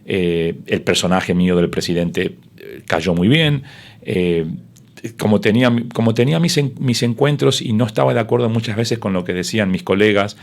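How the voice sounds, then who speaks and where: A man's voice: on the low side, moderate pace, vocal fry. A middle-aged man, a sports broadcaster whose first language is Spanish, telling his story in an interview with many fillers and restarts.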